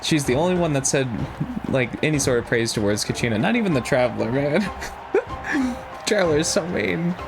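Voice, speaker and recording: A male streamer chats casually over game music. His delivery is very animated, joking and slangy, with a giggly streak. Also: a little high, moderate pace, creaky. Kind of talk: casual livestream commentary.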